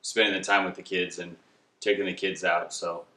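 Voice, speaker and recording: A man in his thirties chatting casually and haltingly as a good-humoured, teasing vlogging dad. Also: deep voice, level tone, bright, forceful tone. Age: 30s. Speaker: vlogger father. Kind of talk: casual couple Q&A chat. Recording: roomy indoor echo.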